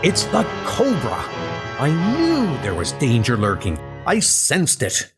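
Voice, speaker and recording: A man's voice: a little high, huge pitch swings, takes it slowly, over music, heavily acted. A man playing a noble, resolute thunder god, speaking in an earnest, declamatory way for a comic-book dub.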